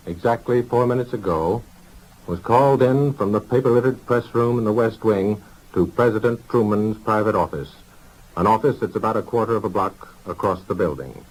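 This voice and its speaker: A man delivering a live 1940s network radio news bulletin with formal, deliberate announcing from a newsroom. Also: on the low side, darkish tone, low-quality recording. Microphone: broadcast studio microphone.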